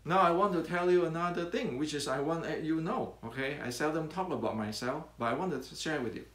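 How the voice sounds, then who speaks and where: Older man, Indonesian accent, earnest teacher, halting, lots of restarts, roomy echo. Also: on the high side, a bit bright. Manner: earnest, repetitive, halting with many fillers and restarts. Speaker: martial arts teacher and channel host. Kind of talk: unscripted talk to camera.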